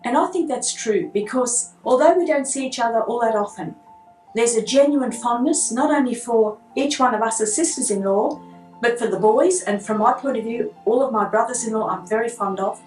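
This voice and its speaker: A woman speaking warmly and reflectively about her family in a casual interview. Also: on the high side, moderate pace, faint music behind. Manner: warm, reflective. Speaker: sister-in-law in the family. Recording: echoey room heard from far off.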